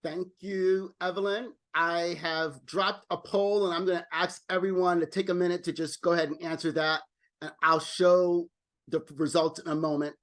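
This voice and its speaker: High-voiced man hosting a video call. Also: little pitch movement, moderate pace, a bit bright. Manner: friendly, repeats words when thinking. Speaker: club president, meeting host. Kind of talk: casual online meeting chat.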